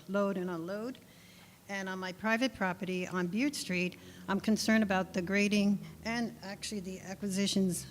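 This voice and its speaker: Worried woman resident asking questions at a public hearing, reverby hall. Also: medium pitch. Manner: concerned, questioning, a little halting. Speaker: long-term local resident giving public testimony. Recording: echoey hall, faint noise.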